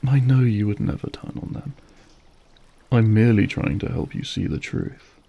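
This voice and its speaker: A man's voice: fairly low pitch, animated, normal speed, creaky, lo-fi sound. A male actor playing a suave, menacing, manipulative vampire lord in an audio roleplay, silky, mocking and formal as he savors each phrase. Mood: mock pity. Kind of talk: scripted audio roleplay acting.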